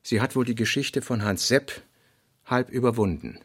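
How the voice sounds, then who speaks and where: A man with a gravelly voice reading scripted literary narration for a radio drama. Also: medium pitch, moderate pace, no room sound, studio quality.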